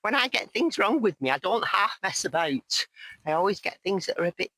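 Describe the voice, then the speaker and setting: A woman vlogging as she hikes down a mountain, chatting in a rambling, jokey, self-mocking way, her voice hoarse and croaky. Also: medium pitch, sing-song, fairly quick, pushed and bright, no echo. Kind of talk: casual chat to camera while hiking. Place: outdoors on a mountain path.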